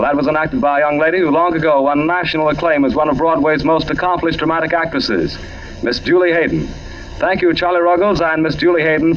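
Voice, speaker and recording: A man's voice: a little high, fairly bright tone. A man announcing in a formal 1940s broadcast style, polished, grandiose and emphatic. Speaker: radio announcer. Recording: muffled 1940s radio recording.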